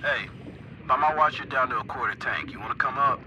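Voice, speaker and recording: An actor playing a steady, watchful male salvage boss in a video game, giving businesslike check-ins to a diver. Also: medium pitch, fast talker, muffled recording. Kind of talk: naturalistic video game voice acting. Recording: clear boat and water noise behind.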